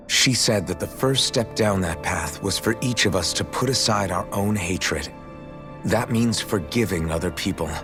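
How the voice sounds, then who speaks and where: A male actor plays an earnest young hero in a game story. The voice is notably deep, with plain-spoken, sincere delivery. There is some music underneath. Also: close to an everyday voice. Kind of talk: dramatic video game cutscene acting. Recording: music playing behind the voice.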